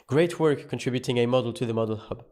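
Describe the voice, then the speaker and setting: A man giving scripted, measured narration for a tutorial video. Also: mid-range voice, darkish tone. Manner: measured, explanatory. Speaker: course narrator.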